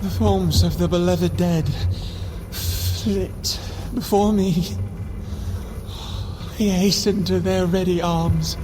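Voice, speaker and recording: An actor playing a proud, embittered scientist who is dying, weak and grandiose in an audio drama. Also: male voice, sits high, fairly even intonation, background noise. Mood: fading, resolute. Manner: slow, grandiose, weakened declamation. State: failing, weakened by a wound.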